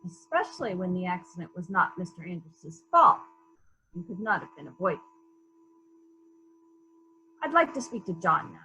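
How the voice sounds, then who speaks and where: A woman playing a calm, persistent police investigator in a murder mystery reading, formal, measured and politely probing. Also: on the low side, exaggerated voice, rough recording. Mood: polite, firm.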